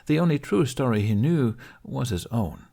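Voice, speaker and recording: A man's voice: medium pitch, animated, normal speed, creaky, dark tone. A man narrating a scripted story as an audiobook reader.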